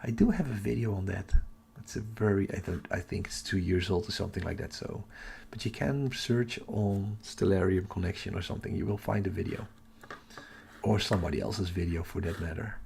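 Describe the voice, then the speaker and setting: Guy doing a casual livestream, half whispering, kinda croaky, noise in the background. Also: a little fast, darkish tone. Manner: keeping voice low for sleeping household. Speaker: astronomy livestream host. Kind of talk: casual live chat with viewers.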